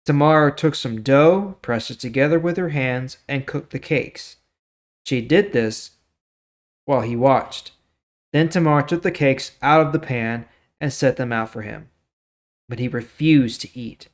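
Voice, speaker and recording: A man's voice: medium pitch, measured pace. Casual male scripture reader. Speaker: host of a daily Bible reading series. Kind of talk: scripture reading with informal commentary.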